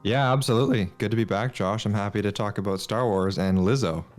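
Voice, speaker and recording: A man's voice: on the low side, quick pace, soft background music. A man chatting casually as a podcast guest, blunt and teasing in manner. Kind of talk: casual chat.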